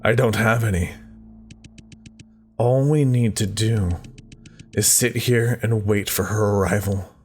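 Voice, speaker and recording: A scheming man from a horror game , played by a male gamer, speaks in a lowered voice. His delivery is calm, measured and drawn out, with music behind him.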